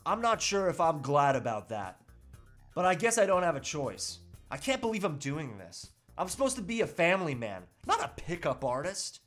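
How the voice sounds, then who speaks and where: A man's voice: fairly high pitch, sing-song, normal speed, a bit bright, soft background music. A man playing an anguished, reluctant family man in a comedic table read, earnest and a little whiny. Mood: regretful.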